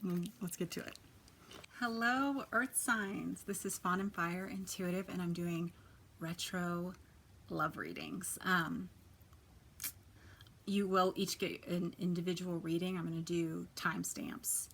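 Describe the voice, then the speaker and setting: A soft-spoken woman with a quiet voice, chatting casually as she gives a tarot reading, often dropping to a near whisper. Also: on the low side, fairly even intonation, measured pace, creaky, a little hiss or hum. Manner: chatty, many fillers, drifts to near-whisper. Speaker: tarot reader. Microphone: quiet home recording.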